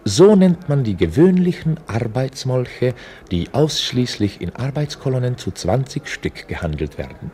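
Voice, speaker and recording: An actor playing a chronicler in a radio drama, explaining things formally like a documentary reporter. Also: male voice, mid-range voice, sing-song, measured pace, mellow, slight background noise. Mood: explanatory, formal. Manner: explanatory, formal, theatrically varied delivery. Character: male chronicler presenting a mock documentary report. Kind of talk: scripted radio drama narration.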